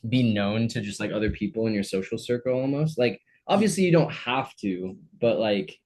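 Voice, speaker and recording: A young man co-hosts a podcast and poses his questions in a halting way, often backing up to restart a phrase. His voice sits a little low, and his tone stays casual. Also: lively intonation, a little mellow, slight background noise. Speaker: podcast co-host.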